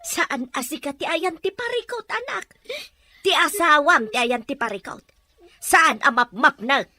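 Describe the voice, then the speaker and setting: A woman's voice: high-pitched, expressive, a little slow, pushed and bright, no echo, full character voice. A woman playing a concerned, questioning woman in an Ilocano family drama, insistent, with an Ilocano accent. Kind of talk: Ilocano radio-style audio drama.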